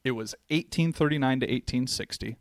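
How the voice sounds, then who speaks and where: A man's voice: mid-range voice, a little fast, no room sound, studio quality. A man co-hosting a casual podcast who talks in a loose, chatty way, full of "like", and explains bits of history. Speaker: podcast co-host.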